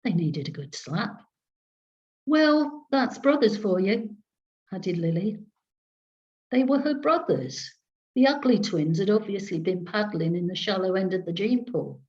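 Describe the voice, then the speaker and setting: A woman reading a story aloud in the first person with a wry, sardonic, expressive delivery over a video call. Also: on the low side, normal speed. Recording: dull lo-fi video call audio.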